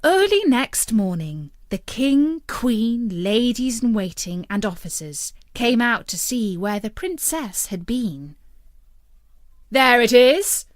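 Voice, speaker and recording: A woman narrating a fairytale as a storyteller, with an expressive and deliberate storybook delivery. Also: on the high side, no room sound, clean studio sound.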